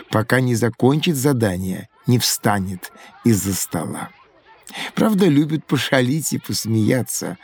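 Folksy old-time village schoolmaster. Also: male voice, medium pitch, a little mellow, slightly rough. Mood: fond, storytelling. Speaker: teacher. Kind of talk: educational audio drama acting.

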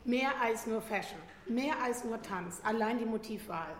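A woman's voice: on the high side, normal speed, lightly acted. A woman playing a critical, dismissive young student in a radio drama. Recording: echoey hall, faint noise.

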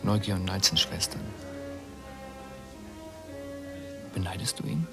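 An actor in a radio drama playing a skeptical, needling man who speaks in a measured, ironic way. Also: low voice, level tone, music in the background, natural voice.

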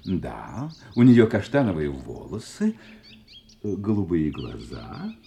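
An actor playing a suave, secretive husband with a volatile edge in an audio play, speaking in theatrical, silky tones. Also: male voice, sits low, huge pitch swings, creaky, slight background noise, full character voice. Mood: smooth, evasive. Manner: theatrical, silky endearments, drawn-out hesitations, sudden sharp outbursts. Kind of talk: Russian audio play acting.

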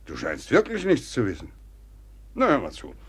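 Husky, animated old general. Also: male voice, mid-range voice, moderate pace, full character voice. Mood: confiding, urgent. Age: older. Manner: blustering, rambling, confidential, hesitating mid-sentence. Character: agitated, talkative old Russian general and worried father. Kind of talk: theatrical German radio play acting.

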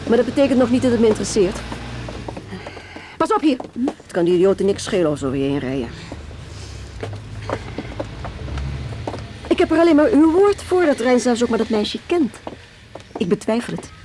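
A woman's voice: on the high side, big pitch swings, fairly quick, background noise. An actress in a Dutch radio drama playing a curt, stubborn working mother who defiantly guards her son. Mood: irritated, defiant. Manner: brusque, stubborn, dismissive.

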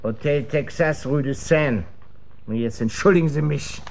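An actor playing a hungover, bitter, cynical gentleman with a sardonic and weary manner in a German radio drama. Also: a little high, normal speed, loud music behind the voice, natural voice. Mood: bitter, irritated.

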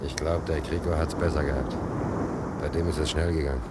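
A man's voice: rumbling low, steady tone, a little fast. A man acting an anxious, talkative seaman in a German radio play, urgently repeating himself, with dramatic intensity. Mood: weary, steadying. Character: anxious, talkative seaman called Piep, in storm and shipwreck. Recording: noisy old radio play recording.

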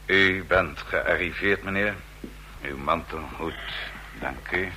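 An actor playing a formal, watchful male house servant in a vintage radio drama, speaking politely and deliberately. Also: low-pitched, sharp tone, slight background noise, heavily acted.

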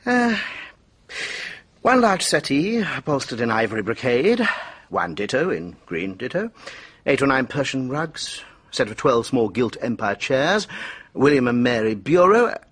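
A genial, talkative doctor with a British accent in a radio play. The voice is husky and mid-pitched, rising and falling freely in hearty, chatty speech. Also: male voice, very animated, a little slow, a bit bright, full character voice. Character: affable, genial English doctor, talkative bridge player. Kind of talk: radio drama acting.

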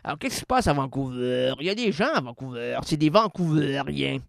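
Rambling, animated Québécois man. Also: mid-range voice, fairly quick, vocal fry, no room sound, clean studio sound. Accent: Québécois French. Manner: playful, rambling, swings between loud and quiet. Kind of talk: rambling comic radio monologue.

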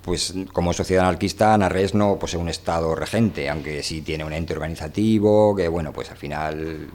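Low-voiced man hosting a discussion. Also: a little mellow. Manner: halting, rephrases and repeats himself. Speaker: host. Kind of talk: casual book and music discussion.

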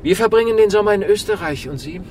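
A man acting in a German radio drama as a brash, forceful film producer who pressures and cajoles. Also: sits high, fast talker, a little hiss or hum, lightly acted. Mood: indignant.

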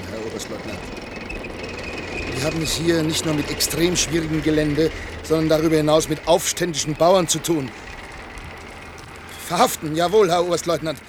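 Hoher, zackiger Offizier im Feld. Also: male voice, high voice. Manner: clipped, dutiful. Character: obedient, brisk military officer in the field. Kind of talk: radio drama, military report and commands. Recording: noisy outdoor field scene.

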